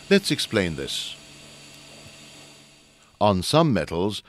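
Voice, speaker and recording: Deep-voiced male instructional narrator, very lively intonation. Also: no echo. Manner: measured, formal explaining. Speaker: narrator of a training video. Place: close, dry studio voice-over.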